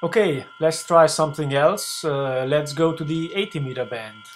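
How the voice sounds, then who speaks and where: A man talking through an unscripted software screencast tutorial in a hesitant, halting way, as a video blogger would. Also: a little high. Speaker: ham radio video blogger demonstrating software. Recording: clear background noise with hum.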